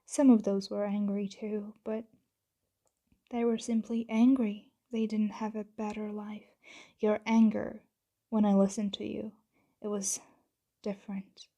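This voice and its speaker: Woman acting a slow, dark-voiced female vampire. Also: medium pitch. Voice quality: soft, breathy, half-whispered with creaky edges. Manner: measured, deliberate, formal phrasing with pauses. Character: composed, patient female vampire owner, commanding yet gentle. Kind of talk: ASMR roleplay, scripted monologue to the listener.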